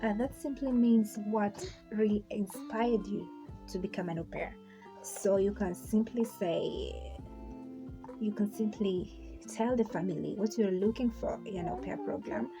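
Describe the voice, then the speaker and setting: A young Kenyan woman talks through her vlog in a relaxed, chatty way at an ordinary middle pitch. She pauses often, fills the gaps with ums and uhs and restarts her sentences. Background music plays clearly underneath her voice. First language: Swahili. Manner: chatty, hesitant, many fillers and restarts. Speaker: vlogger. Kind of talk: casual how-to vlog.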